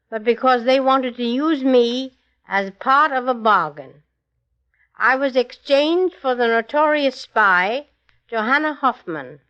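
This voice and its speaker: A woman guest author giving formal, scripted testimony in a measured, earnest manner. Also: on the high side, fairly bright tone, clear, resonant tone. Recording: dull 1940s radio recording.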